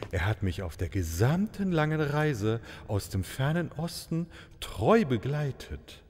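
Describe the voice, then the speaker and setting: A man's voice: lowish voice, a little mellow, slightly rough, in a large hall, full character voice. A man playing a vain, pompous, ceremoniously courteous maharaja in a comic fairy-tale radio play.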